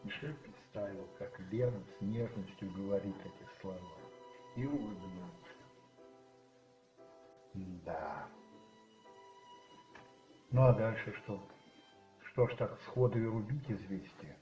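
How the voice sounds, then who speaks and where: A man narrating a radio play as dramatic literary storytelling, musing and rhetorical, with dramatic pauses. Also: on the low side, animated, dark tone. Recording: roomy, slightly muffled, with music behind.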